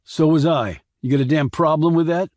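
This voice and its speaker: Narrator doing a snappish, sullen younger brother. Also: male voice, a little high, moderate pace, darkish tone, no room sound, studio quality, voice pushed up, close to an everyday voice. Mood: irritable, defiant. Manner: defensive, snapping retorts. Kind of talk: audiobook character dialogue.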